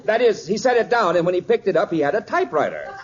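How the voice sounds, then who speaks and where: Fussy, exasperated father, animated old radio comedy delivery, dull and noisy recording. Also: high voice, quick pace. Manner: formal, sputtering, exasperated. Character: exasperated middle-aged father, fussy and put-upon.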